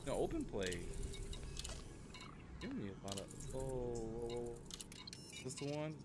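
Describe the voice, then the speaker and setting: A man's voice: medium pitch, huge pitch swings, moderate pace. Casual man livestreaming over noisy game sound. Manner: informal, rambling, addresses viewers. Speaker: live streamer. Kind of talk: casual gaming livestream chat.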